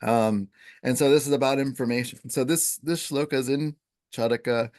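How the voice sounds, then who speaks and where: A man who teaches Ayurveda, explaining in a relaxed, conversational way with plenty of fillers. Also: mid-range voice, normal speed. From Italian first language. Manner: relaxed, filler-heavy, chants Sanskrit verses.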